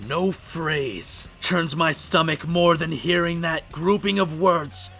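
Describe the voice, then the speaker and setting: A man playing a bitter, grieving, middle-aged hard-drinking huntsman in a fan comic dub, with a gravelly, raspy voice and a mocking, menacing drawl. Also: on the high side, slow pace, fairly bright tone, faint music behind, full character voice. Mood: simmering anger.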